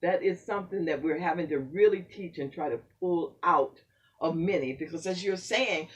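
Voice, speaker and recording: A man's voice: a little high, moderate pace, recorded from a distance. An older man hosting a casual faith conversation, affirming and preacherly.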